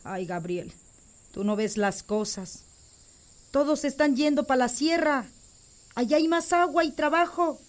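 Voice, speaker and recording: An actress playing a young pregnant farm wife who speaks in a worried, insistent tone. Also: female voice, high voice, a little slow, long pauses. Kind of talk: radio drama acting.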